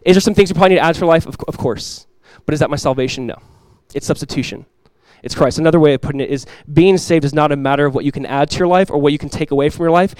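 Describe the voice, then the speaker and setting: A man with a fairly high voice preaches in an earnest, conversational way. He keeps asking his listeners rhetorical questions. The sound is dry and close to the mic. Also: racing, few pauses, darkish tone, clear, resonant tone, no room sound. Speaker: pastor. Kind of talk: expository sermon.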